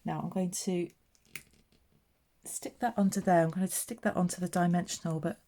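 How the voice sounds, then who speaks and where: A woman chats away as she works through a papercraft tutorial, describing each step aloud. Her voice sits low and sounds mellow and unhurried. The talk is casual and friendly in a how-to way. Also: steady tone. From southeast England. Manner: chatty, narrating her own hands-on work. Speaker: papercraft demonstrator. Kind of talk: casual craft tutorial chat.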